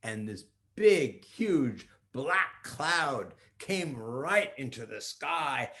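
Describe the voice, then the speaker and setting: Slow-talking older man telling children's stories. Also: mid-range voice, sing-song, bright, forceful tone. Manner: theatrical, with pauses and restarts. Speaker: storyteller grandpa. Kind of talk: improvised children's storytelling.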